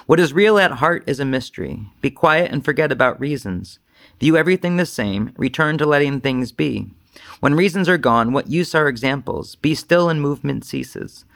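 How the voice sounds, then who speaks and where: A man's voice: on the high side, animated, moderate pace, gravelly, fairly bright tone. A man speaking as a Zen teacher in an informal dharma talk, with a self-deprecating, rambling manner.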